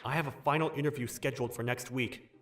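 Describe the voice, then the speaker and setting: A man's voice: medium pitch, fairly even intonation, quick pace, a bit bright, lightly acted. Man acting an earnest young office worker, music behind. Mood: hopeful, musing. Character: earnest, put-upon young male office worker, narrating his troubles. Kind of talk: manga dub narration and dialogue.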